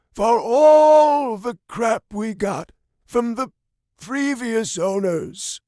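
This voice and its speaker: Guy narrating a scary story real slow, kinda high voice, dry close sound. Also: a little mellow, no echo. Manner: slow, drawn-out creepy reading. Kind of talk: reading a viewer's horror story aloud.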